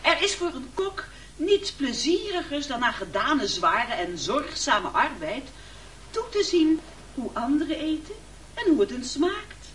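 Een vrouw die in een hoorspel een quasi-bescheiden, vleierige kok speelt die aarzelend een tafelrede houdt. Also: female voice, sits high, big pitch swings, unhurried, fairly bright tone, slight background noise, heavily acted, low-quality recording. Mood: ingratiating, nervous. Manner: hesitant, self-effacing, ingratiating. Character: falsely modest, wheedling cook pleading before diners. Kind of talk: Dutch radio play acting, speech-making.

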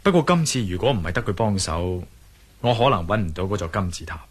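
Adventurer hero for radio drama: Hong Kong Cantonese, friendly yet anxious, husky, animated delivery. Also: male voice, low voice, quick pace. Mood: calm. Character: Wisely, determined adventurer man, friendly but anxious.

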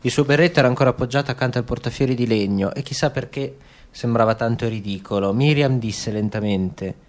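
A man reading literary narration for radio theatre, slow and dramatic. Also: mid-range voice.

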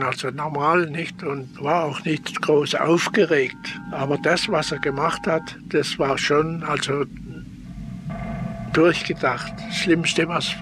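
Male witness, unhurried and careful, halting phrases, interview with clear background noise. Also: a little high. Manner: halting, careful, repeats himself. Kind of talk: spoken recollection in an interview. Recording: noisy location interview.

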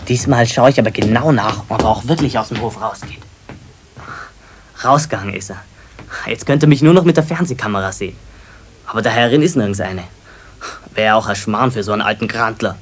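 Husky, sulky young apprentice. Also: male voice, on the low side, fairly quick, long pauses, soft background music. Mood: sulky, defiant. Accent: Bavarian. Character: sulky, defiant teenage apprentice boy. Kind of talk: 1970s German radio drama acting.

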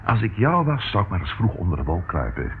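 Genial, very animated policeman. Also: deep voice, quick pace. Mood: hearty, friendly. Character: genial, brisk male police colleague. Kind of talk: radio play acting. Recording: dull, faintly noisy old radio recording.

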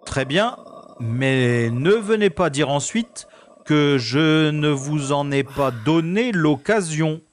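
A man's voice: a little high, lively intonation, slow, a little hiss or hum. A man playing an ironic, nonchalant special agent who narrates his own adventure in an audio drama. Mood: calm. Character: wry, cocky special agent, adult man. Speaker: narrator-hero.

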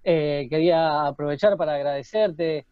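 A man's voice: fairly high pitch, normal speed, smooth, no echo. A man co-hosting a live online show in courteous presenter phrasing. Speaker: co-host. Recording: dull lo-fi sound, faint music.

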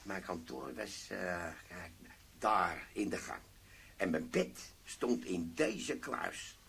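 An actor playing an older, boastful ex-army sergeant in a radio drama, informal and wry. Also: male voice, low-pitched, big pitch swings, slow, gravelly, a bit bright, slightly rough, heavily acted. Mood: reminiscing.

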